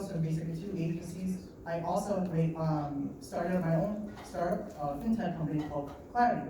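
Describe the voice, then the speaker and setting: A man in his late twenties giving a live talk at a design meetup. He talks fast and a bit nervously, with lots of fillers and restarts. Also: on the high side. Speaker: guest speaker at a design meetup. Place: meeting room in an office. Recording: echoey room, distant mic, background noise.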